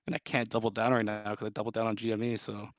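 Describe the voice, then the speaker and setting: A man's voice: lowish voice, little pitch movement, fast talker, slight background noise. A man chatting casually and upbeat about holding his positions, rambling and hyped. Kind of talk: casual group voice chat about trading.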